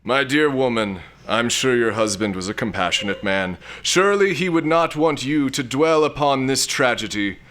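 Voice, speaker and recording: An actor playing a vain, lecherous, cowardly space lord in a florid, grandiose manner for a comic sci-fi audio drama. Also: male voice, mid-range voice, lively intonation, normal speed, few pauses, fairly bright tone, natural voice. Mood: smarmy, seductive.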